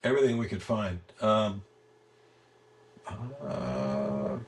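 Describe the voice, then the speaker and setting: A man with a gravelly, husky voice giving a casual software walkthrough as the guest, halting and repeating words as he explains. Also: on the low side, big pitch swings, slight background noise. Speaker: software creator giving a product demo as guest. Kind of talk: casual screen-share walkthrough.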